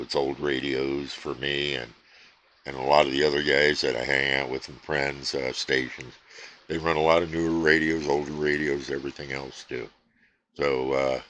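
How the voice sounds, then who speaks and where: A man casually chatting about his radio hobby at his home station, relaxed and rambling with many fillers. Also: extremely low pitch, a little hiss or hum. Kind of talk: casual chat to camera.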